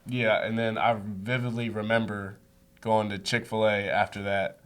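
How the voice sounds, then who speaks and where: An easygoing young man with a lowish voice talks about his sport. His answers are casual and loose, filled with "like". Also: flat delivery, normal speed, clean tone. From United States. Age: young adult. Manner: easygoing, says like a lot. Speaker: student athlete guest.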